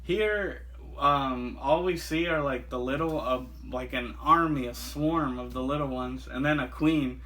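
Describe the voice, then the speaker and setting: A man talks through a film review in an easy, chatty way. He rambles, fills gaps with "like" and "uh", and restarts his sentences often. His voice sits at an ordinary middle pitch. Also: a little slow, fairly bright tone, low-quality recording. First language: not Japanese. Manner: rambling, with frequent fillers. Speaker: movie reviewer. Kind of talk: casual chat.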